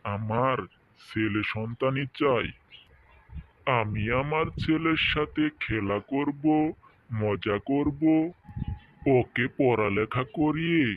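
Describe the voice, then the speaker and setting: A man's voice: medium pitch, dry recording, highs cut off, voice pushed down, natural voice. A man voicing a stern village husband in a cartoon tale, emphatic and scolding as he demands a son. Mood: hopeful, insistent. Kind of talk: cartoon fairy-tale voice acting.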